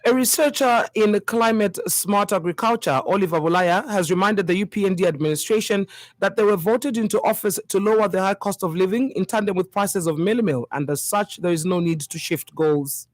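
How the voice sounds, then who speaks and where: A woman's voice: medium pitch, fairly quick. A woman with a Zambian accent presenting a scripted radio news bulletin.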